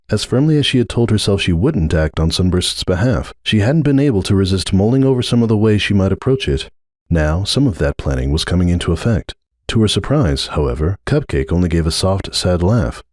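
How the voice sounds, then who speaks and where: Expressive male story narrator, dry close sound. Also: sits low, brisk, dry recording. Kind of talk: dramatic scripted story narration.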